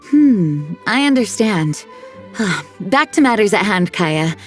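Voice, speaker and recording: A composed knight commander, formal and measured, businesslike, faint game music behind. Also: female voice, medium pitch, big pitch swings. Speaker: video game character. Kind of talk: video game cutscene voice acting.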